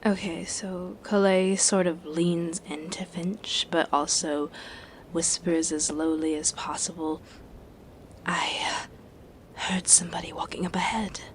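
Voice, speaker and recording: A woman playing a tabletop fantasy game, roleplaying casually in a hesitant, soft-spoken way. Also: on the low side, fairly even intonation, unhurried, a little hiss or hum.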